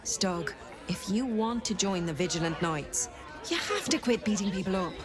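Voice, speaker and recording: A woman's voice: mid-range voice, animated, normal speed, music in the background, close to an everyday voice. An actress playing a firm, loyal lady knight in a video game, earnest and admonishing. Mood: reproving. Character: firm, loyal female knight, reproving elder sibling. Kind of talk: video game character acting. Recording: busy crowd behind.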